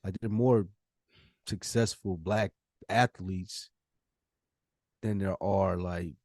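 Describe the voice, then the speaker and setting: A man's voice: low voice, steady tone, slow pace, a little mellow, no echo, studio quality. An American man co-hosting a podcast, talking casually with emphatic, slangy opinions. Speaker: podcast co-host.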